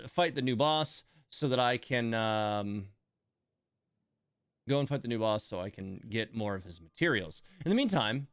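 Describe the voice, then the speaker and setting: Guy streaming a game, musing casually, clean smooth voice, close dry mic. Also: mid-range voice, dry recording. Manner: musing, thinking aloud. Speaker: game livestreamer. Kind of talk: casual live game commentary.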